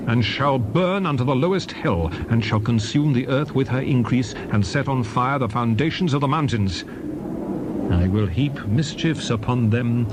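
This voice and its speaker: An actor playing Moses as a stern, solemn old prophet, declaiming scripture in measured phrases. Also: male voice, mid-range voice, background noise, lightly acted. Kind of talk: dramatized scripture recitation.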